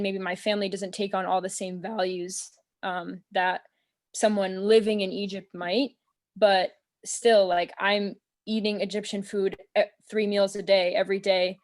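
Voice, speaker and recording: A young American woman on a video call, talking in a relaxed, earnest way and filling her speech with "um" and "like". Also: mid-range voice. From United States. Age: young adult. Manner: earnest, thoughtful, many fillers like "um" and "like". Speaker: interview guest. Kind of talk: casual conversation. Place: small room at home, indoors.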